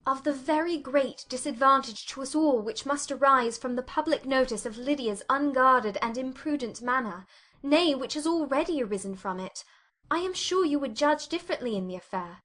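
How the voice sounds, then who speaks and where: A woman's voice: high voice, a bit bright, pitched above the performer's own voice. A woman playing an earnest, sensible young woman. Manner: earnest and measured.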